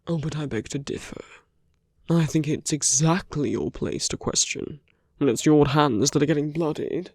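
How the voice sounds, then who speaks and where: A man playing a suave, persuasive villain in an ASMR roleplay, speaking in measured rhetorical questions and slipping into near-whispers. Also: on the high side, no room sound, clean studio sound. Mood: teasing, probing. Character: suave male villain, persuasive, teasing, self-justifying. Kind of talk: ASMR roleplay audio drama.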